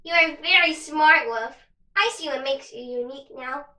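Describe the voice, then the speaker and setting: A sweet young girl unicorn from a storybook, played by a woman. She is shy and unsure of herself, with a very high voice and a roomy sound around her. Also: normal speed, slight background noise. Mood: admiring. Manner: bashful, breath gasps, soft.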